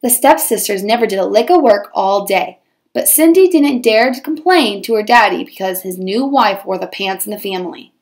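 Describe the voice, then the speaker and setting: A woman teacher reading a children's storybook aloud with expressive, dramatized storytelling. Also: medium pitch, moderate pace.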